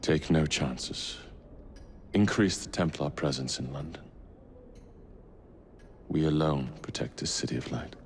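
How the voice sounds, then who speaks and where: An actor playing a cold, imperious villain leader with a grandiose, formal, theatrical delivery in a video game. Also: male voice, extremely low pitch, measured pace, gravelly, pauses often, a little hiss or hum, heavily acted. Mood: grave, controlled. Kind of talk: dramatic video game acting. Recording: hall reverb under loud music.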